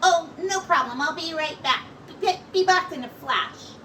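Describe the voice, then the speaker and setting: Through a noisy, distant recording comes a woman playing an eager young male bird from a storybook. He is high-pitched and helpful, bursting into exclamations and tripping over his words when keen. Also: moderate pace, bright, forceful tone. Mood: bright, helpful.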